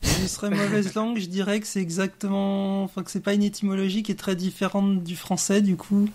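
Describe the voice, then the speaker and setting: A woman's voice: medium pitch, little pitch movement, brisk, a little mellow, slight background noise. Mock-formal woman on a podcast panel. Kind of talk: casual panel discussion.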